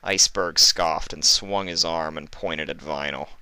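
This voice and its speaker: A man's voice: extremely low pitch, fairly even intonation, measured pace, bright, forceful tone, clear, resonant tone, no echo. A man reading fan fiction aloud as a dramatic story narrator.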